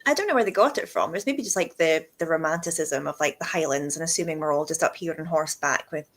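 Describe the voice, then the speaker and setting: A woman hosting a relaxed chat, telling lively stories and laughing often. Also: sits low, fast. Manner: chatty storyteller, laughs often. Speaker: whisky shop host. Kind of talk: casual chat.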